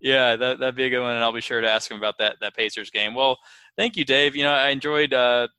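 A man with a bright, forward voice at a medium pitch hosts a casual interview podcast. The sound is dry and close, with very little room around it. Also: fairly even intonation, fast talker, clear, resonant tone, no echo. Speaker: podcast host.

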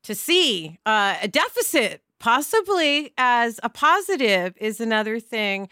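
A woman podcast host with a fairly high, very lively voice. She talks casually and emphatically, straight to the listener, in a dry, close sound. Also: unhurried, dry recording. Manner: emphatic, colloquial, addresses listeners directly.

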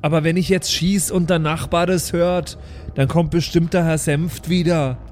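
A man's voice: fairly high pitch, fairly even intonation, moderate pace, a little mellow, a little hiss or hum. A man with a Franconian accent, chatting casually as a player in an improvised role-play podcast.

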